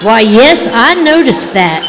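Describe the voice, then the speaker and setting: A woman's voice: a little high, big pitch swings, slow. A woman playing a jittery believer in hauntings in an old-time radio ghost story performed on stage. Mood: casual. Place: stage in a large reverberant room. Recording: noisy live stage hall, long reverb.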